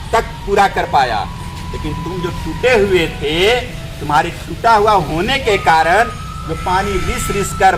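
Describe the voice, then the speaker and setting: Wise kindly farmer for a storybook tale, male storyteller: high voice, gentle reassurance, music bed. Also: rough recording. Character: kind, observant farmer, wise owner of the pots.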